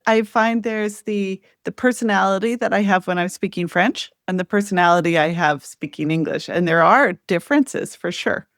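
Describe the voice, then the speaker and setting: A woman hosting a casual podcast interview, warm and enthusiastic, with lots of affirming responses. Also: mid-range voice, normal speed, few pauses, no room sound, clean studio sound. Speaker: podcast host.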